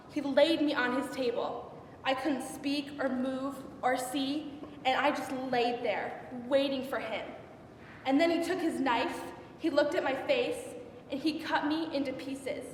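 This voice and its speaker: A young woman playing an anguished biblical concubine in a dramatic stage monologue, with halting, repetitive, intense delivery. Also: sits high. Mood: horrified, distraught. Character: anguished young unnamed biblical concubine recounting her ordeal. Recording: echoey hall from far off, faint noise.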